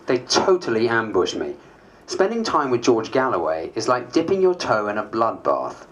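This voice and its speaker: A man's voice: mid-range voice, wildly swooping pitch, moderate pace, dull audio. A man playing a harried, self-mocking MP, narrating a dramatised diary in a dry, wry way. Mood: rueful. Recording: faint background noise.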